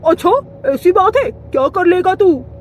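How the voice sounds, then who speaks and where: Someone doing a high voiced hungry kite villain for kids cartoon, boastful, menacing, music behind. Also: expressive, a little slow. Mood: threatening. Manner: taunting, threatening. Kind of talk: Hindi children's cartoon voice acting.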